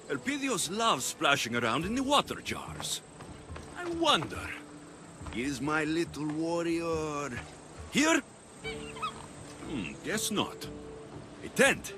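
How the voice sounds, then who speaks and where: A man's voice: fairly high pitch, sing-song, slow pace, long pauses, music in the background. An actor playing a battle-hardened Greek mercenary who is a doting, playful young father in a video game drama. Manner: playful, sing-song when talking to his baby.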